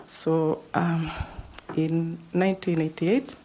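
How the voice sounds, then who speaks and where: A woman in her fifties speaks with a Kenyan accent from the Mount Kenya region, her voice sitting notably low. She introduces herself to a selection panel in a composed, formal way, speaking into a table microphone in an interview room. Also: little pitch movement, slow, dark tone, a little hiss or hum. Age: 50s. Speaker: job candidate at a panel interview.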